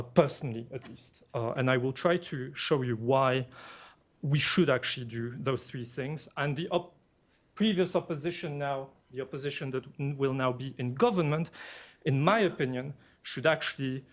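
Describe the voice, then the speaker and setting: A man from Belgium with a French-influenced accent, giving a measured academic panel presentation as a political scientist, pausing often with fillers. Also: mid-range voice. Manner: measured and deliberate, with frequent filler pauses.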